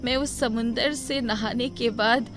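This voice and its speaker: A woman voicing a kindly old grandmother, later a ghost, who speaks affectionately and reassuringly in an animated moral story. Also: fairly high pitch, measured pace, over music, close to an everyday voice. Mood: gentle, wistful. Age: older.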